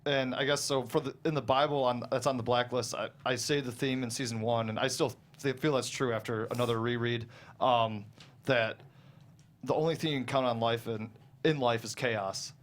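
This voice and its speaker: A man's voice: mid-range voice, fairly even intonation, moderate pace. A man talking casually as a guest screenwriter about his own writing, in a hesitant, rambling way, often chuckling mid-sentence.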